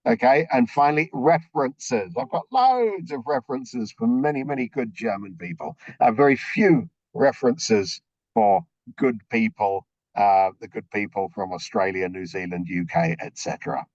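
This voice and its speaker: A man with a slightly croaky voice briefing a group on a video call, explaining things step by step and checking in with frequent okays. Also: medium pitch, very animated, measured pace, darkish tone, dry recording, muffled recording. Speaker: orientation presenter.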